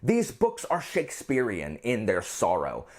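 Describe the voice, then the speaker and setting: A man's voice: lowish voice, wildly swooping pitch, measured pace. A man talking casually as a book vlogger, gushing and emphatic, often repeating phrases to drive a point home. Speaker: book reviewer and channel host. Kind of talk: casual chatty book vlog.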